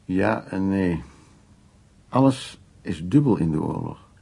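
An actor playing an older man, a brooding war survivor telling his memories in a radio drama with a gravelly, husky, halting delivery. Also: deep voice, lively intonation, warm tone, heavily acted. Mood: gruff, wounded.

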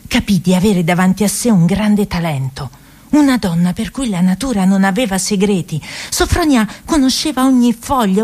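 An actress narrates a tragicomic tale in a middle-pitched voice. She is dramatic and emphatic, relishing her words as a theatrical radio storyteller. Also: a little mellow.

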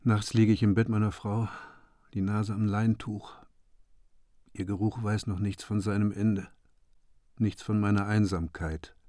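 Mellow, grief-stricken father played by an actor, dry sound. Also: on the low side, level tone, no echo, natural voice. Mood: desolate. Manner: stark, measured short sentences. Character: grief-stricken bereaved father, broken, proud, brooding. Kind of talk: radio drama monologue.

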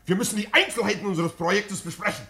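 An actor playing a fussy, obsequious anarchist secretary in a radio play. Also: male voice, high-pitched, fast talker, creaky, bright, forceful tone, full character voice. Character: eager, servile anarchist secretary.

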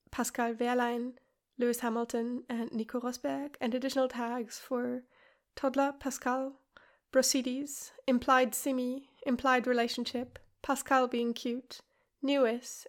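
Lady reading a fan story out loud, kinda high voice, soft and even. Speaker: podfic reader.

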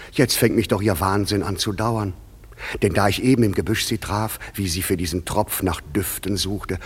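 An actor playing a proud, commanding fairy king in a classic radio play, declaiming verse in a measured, authoritative way. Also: a bit bright, exaggerated voice. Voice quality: husky, low.

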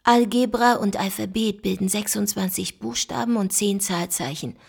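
Woman code investigator, radio play, creaky husky voice, deliberate, analytical, explanatory. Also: mid-range voice, a little mellow. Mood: reflective, explaining. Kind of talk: German radio play dialogue.

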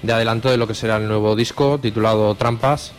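A low-pitched man from Spain presents event listings on the radio in a Spanish accent. Faint music plays underneath his voice. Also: steady tone, quick pace. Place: radio studio.

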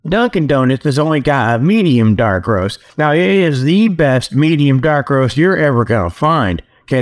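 A man hosting a comedic show in a folksy, joking, easily sidetracked monologue, talking into a tablet while sitting outdoors. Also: fairly high pitch. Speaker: host of a comedic report show. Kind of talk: rambling casual humorous monologue. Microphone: tablet's built-in mic, resting on leg.

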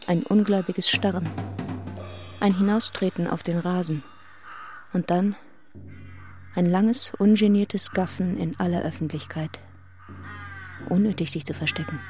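Radio play narrator: first-person heroine, lower register, measured dramatic reading, clear trombone music underneath. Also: female voice, steady tone, darkish tone. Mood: stunned, bleak. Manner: measured, intense, in clipped literary phrases. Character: reflective heroine narrating her own story. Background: brass music with trombone.

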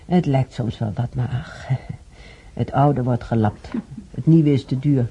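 A woman's voice: a bass voice, measured pace, breathy edge, lo-fi sound, full character voice. An actress playing a chatty, wistful old woman who muses aloud and rambles in a radio drama. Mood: resigned, chatty. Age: older. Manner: rambling, musing aloud, repeating herself. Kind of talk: radio drama monologue and dialogue. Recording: dark, slightly noisy radio drama recording.

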